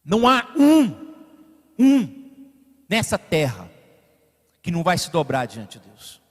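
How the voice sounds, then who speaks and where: Man from Brazil, pastor, sermon, high voice, long church reverb, surges and drops in force. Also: wildly swooping pitch, takes it slowly, slightly rough. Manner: rising to emphatic peaks, then dropping to quiet asides; directs the slide operator mid-sermon. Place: church sanctuary.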